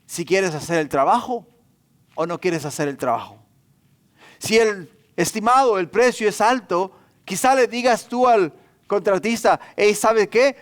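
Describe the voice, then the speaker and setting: Man, middle-aged pastor, high-pitched voice, sermon delivery, emphatic repetition. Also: animated, measured pace. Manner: emphatic repetition, rhetorical questions. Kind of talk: sermon in Spanish to a congregation.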